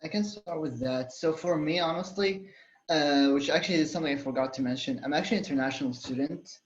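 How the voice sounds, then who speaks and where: A man's voice: fairly high pitch, fast talker, echoey room, dull audio, a little hiss or hum. A young man from Saudi Arabia, a student on a college panel, talking casually with many fillers and restarts. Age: young adult. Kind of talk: casual panel talk on a video call.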